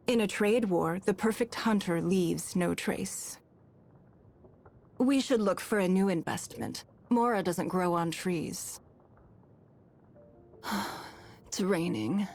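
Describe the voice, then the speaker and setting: A woman's voice: lowish voice, a little mellow. A woman playing a poised, shrewd and wealthy trade magnate in a video game, speaking in a composed, imperious, formal way. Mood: poised, authoritative. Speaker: game character voice. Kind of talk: scripted video game voice lines. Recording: music behind the voice.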